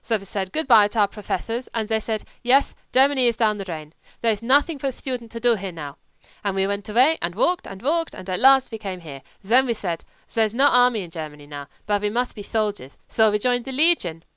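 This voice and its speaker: A woman voicing a louche young German man with a slight lisp, speaking loud, offhand broken English. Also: on the high side, animated, a little fast, hardly any pauses, smooth, dry recording. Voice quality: lisp from a missing tooth.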